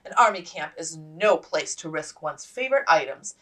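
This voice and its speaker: A woman's voice: low-pitched, lively intonation, moderate pace, pushed and bright, recorded from a distance, full character voice. A woman voicing a gallant young male army officer in a storybook, courtly and emphatic. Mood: smug gallantry.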